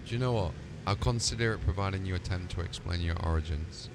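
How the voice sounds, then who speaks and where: A man role-playing as a ship's commander in conversation with game voices. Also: quick pace. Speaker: game player in character as ship commander. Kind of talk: role-played dialogue with game voice pack. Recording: clear ambient rumble behind.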